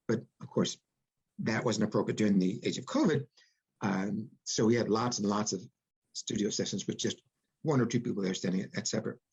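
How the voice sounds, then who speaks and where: A man's voice: mid-range voice, vocal fry, darkish tone, slightly rough, highs cut off. A man speaking as an interviewed author and producer, explaining things reflectively with folksy turns of phrase. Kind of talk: interview conversation.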